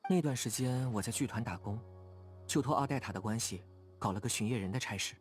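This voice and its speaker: A man's voice: medium pitch, fast talker, faint music behind, lightly acted. A man voicing a hardy, easygoing young idealist in a video game, with a casual, frank way of talking. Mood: relaxed, appreciative. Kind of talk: video game character dialogue.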